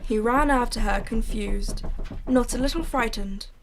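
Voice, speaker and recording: A woman's voice: on the high side, measured pace, a little hiss or hum. Woman narrating a radio drama.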